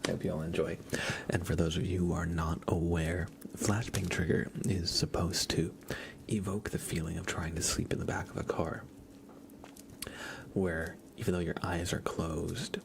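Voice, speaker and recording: A man hosting an ASMR livestream, speaking in a breathy whisper and murmur, informally chatting and gently guiding his listeners. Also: sits low, flat delivery, moderate pace, a little hiss or hum.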